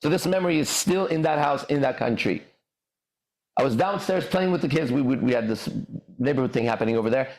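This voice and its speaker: A man from Iran hosting a livestream podcast, telling stories in an emphatic, profane way with drawn-out pauses. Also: mid-range voice, lively intonation, a little mellow, roomy sound, rough recording. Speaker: podcast host. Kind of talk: livestream motivational storytelling.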